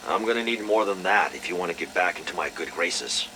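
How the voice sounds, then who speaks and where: An actor playing a guarded, terse, no-nonsense intelligence officer on a call in a video game. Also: male voice, low-pitched, lively intonation, fairly quick, noisy background. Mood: impatient, firm. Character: curt, guarded male intelligence agency officer. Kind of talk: dramatic game dialogue on a phone call.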